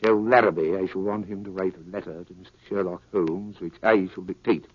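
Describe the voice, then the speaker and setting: An actor playing a menacing, coolly arrogant criminal mastermind in a deliberate, theatrical 1930s radio style. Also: male voice, deep voice, fairly even intonation, dry recording. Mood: sinister resolve. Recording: muffled, crackly 1930s radio recording.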